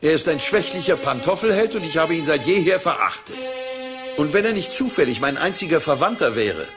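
A man's voice: a little high, big pitch swings, a little fast, over music. A man playing a cultured, scornful older uncle in a German crime audio drama, formal and condescending in his delivery.

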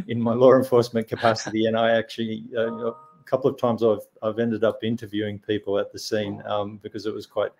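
A man answering on a video call panel, earnest and halting, chuckling at times. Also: on the low side, flat delivery, darkish tone. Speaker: cyber security executive panelist. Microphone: video call webcam.

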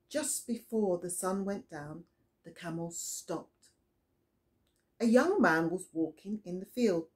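A middle-aged woman reading a children's Bible story aloud as a storyteller, deliberate and expressive, recorded indoors in a small room. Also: medium pitch. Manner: deliberate, expressive storytelling with questions to young listeners.

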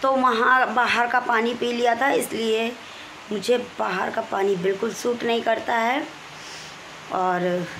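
Woman, 40s, Kanpur Hindi accent, hoarse, husky, higher voice, rambling home vlog, phone held close. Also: fairly even intonation, slow, background noise. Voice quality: husky, strained voice. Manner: chatty, rambling, clears throat often. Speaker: vlogger. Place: small room at home, indoors. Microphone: phone camera held close, selfie framing.

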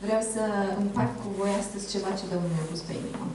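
A woman's voice: medium pitch, steady tone, rapid-fire. A young woman interprets a talk line by line at a church meeting, speaking into a handheld mic. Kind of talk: consecutive interpreting. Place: church hall. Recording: echoey hall, taken from far off.

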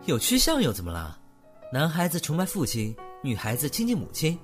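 A man's voice: a little high, huge pitch swings, fairly quick, faint music behind. A man acting an unflappable, blunt young man who counsels and teases a friend in a Mandarin radio drama, matter-of-fact in manner. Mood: earnest, advising. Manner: unfazed, matter-of-fact, teasing.

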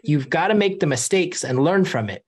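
A young man hosting a video call, coaching a sales team in an animated, energetic way from a room at home. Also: on the high side, normal speed, husky. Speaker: host.

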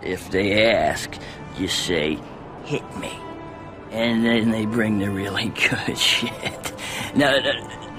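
A man's voice: fairly low pitch, vocal fry, full character voice. An actor playing a seedy, boozy panhandler in a video game, wheedling and stammering as he rambles. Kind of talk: dramatic video game acting.

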